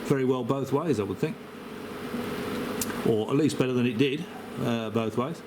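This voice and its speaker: An Australian man with a mid-pitched voice talks over clear background noise. He is an amateur radio operator in casual conversation, rambling in a hesitant, matey way. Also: moderate pace. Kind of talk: casual radio contact chat.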